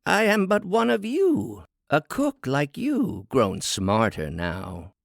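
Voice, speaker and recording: A man's voice: a little high, huge pitch swings, slow, vocal fry, no room sound, studio quality, voice pushed up, full character voice. A man voicing a haughty, scheming female harpy in a storybook fable, preaching with pompous, condescending, wheedling oratory. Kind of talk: dramatic storybook fable reading.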